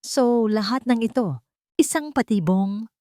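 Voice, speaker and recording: A woman's voice: fairly high pitch, animated, a little slow, warm tone, no echo, clean studio sound, voice pushed up. A woman voicing a tense, wary wife in an audio drama, asking blunt questions. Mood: puzzled, tense. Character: tense, wary betrayed wife demanding answers.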